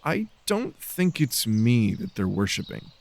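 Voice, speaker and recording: A man voicing a gentle, hesitant male explorer in a sci-fi audiobook. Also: medium pitch, very animated, measured pace, gravelly. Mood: uncertain. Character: lost male explorer, gentle, unsure, well-meaning.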